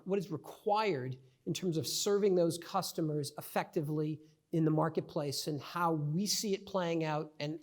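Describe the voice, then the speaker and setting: A middle-aged man with a New York accent, giving a conversational conference presentation with many fillers and restarts. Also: fairly high pitch. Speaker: conference speaker.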